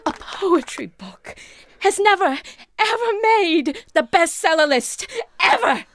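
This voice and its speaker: A woman's voice: very high voice, big pitch swings, slow pace, fairly bright tone, no room sound, heavily acted. An actress playing a gushing daytime talk show host in an audio drama, emphatic and repetitive as she rallies her audience. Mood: gushing, triumphant. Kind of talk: parody television talk show presenting.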